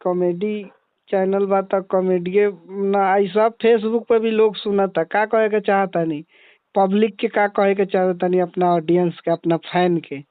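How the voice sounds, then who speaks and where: A man with a Bhojpuri accent in a casual phone chat, asking questions in leisurely, drawn-out phrases on a recorded call. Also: sits high, steady tone, no room sound, phone-quality sound. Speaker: comedy channel owner interviewing a guest.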